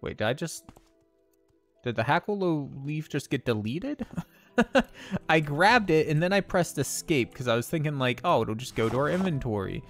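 A man's voice: on the high side, sing-song, normal speed, a bit bright, faint music behind. A man streaming a game, chatting casually with viewers and laughing often, his nose a little stuffy. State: slightly congested, stuffy nose. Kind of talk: casual gameplay commentary and chat.

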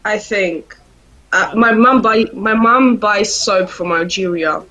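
Wanita muda ragu-ragu, bicara sangat lambat dan berdesah, lewat panggilan video. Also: female voice, medium pitch, slow pace, bright, forceful tone, rough recording. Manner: hesitant, unsure. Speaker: video chat stranger. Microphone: video call.

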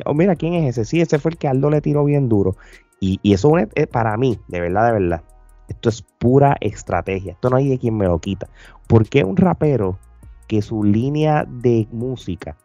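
A mellow-voiced man hosts a casual podcast. His voice sits at a middling pitch, and he talks conversationally, repeating phrases for emphasis. The sound is close and dry, with faint music behind him. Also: no echo. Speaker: podcast host. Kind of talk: casual reaction podcast chat. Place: indoor room, remote video call. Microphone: close desk podcast microphone with headphones.

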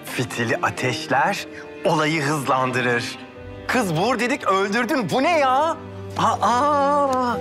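A fussy male best friend in a TV drama. He is a gossip who talks in exclamations, with clear music playing behind him. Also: high-pitched, lively intonation, takes it slowly. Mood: excited, teasing. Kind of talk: TV drama acting, chatty dialogue.

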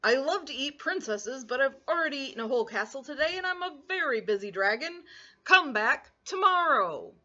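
A woman voicing a boastful, busy male dragon in a read-aloud storybook, brushing off a visitor in a brisk, dismissive way. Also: high-pitched, lively intonation, pushed and bright, highs cut off, voice pushed up.

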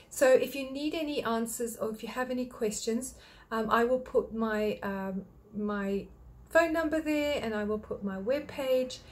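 Friendly older woman explaining health tips. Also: fairly high pitch. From Australia. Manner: friendly, explanatory, lists points one by one. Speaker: thyroid clinic practitioner. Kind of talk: direct-to-camera health talk. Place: small home office indoors.

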